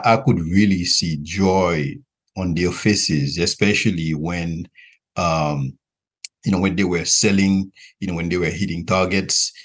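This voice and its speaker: A man in his forties from Haiti, with a Haitian accent, answering interview questions in measured short phrases with frequent pauses. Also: low voice, slightly rough. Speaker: podcast guest, regional director and visiting professor.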